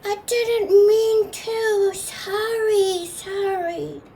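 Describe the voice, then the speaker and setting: A woman's voice: very high voice, fairly even intonation, drawn-out words, dark tone, a little hiss or hum, voice pushed up, full character voice, low-quality recording. A woman voicing a demanding, sneaky baby raven grandson with whiny, childish repetition in a storytelling performance. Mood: fake apologetic. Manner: childish repetition, whining, wailing.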